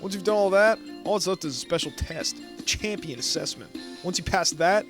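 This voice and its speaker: A man's voice: high voice, animated, fairly quick, music in the background, pitched above the performer's own voice. A man voicing a peppy, eager teenage girl battle champion, reading her lines aloud in an upbeat, bragging way while playing a game.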